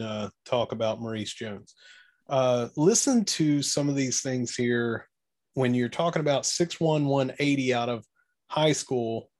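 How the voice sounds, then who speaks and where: A man co-hosting a sports podcast, thinking aloud about football in a casual, speculative, conversational way. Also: mid-range voice. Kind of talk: casual football analysis chat.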